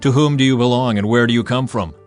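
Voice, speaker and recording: A man's voice: medium pitch, fast talker, faint music behind, natural voice. A man voicing a bold young warrior leader who speaks with urgency. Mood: urgent.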